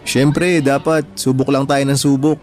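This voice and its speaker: A man's voice: medium pitch, little pitch movement, unhurried, darkish tone, natural voice. A man playing an earnest, humble, hardworking young husband in a radio drama, talking in a mild conversational way. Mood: earnest, determined. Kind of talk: Tagalog radio drama dialogue.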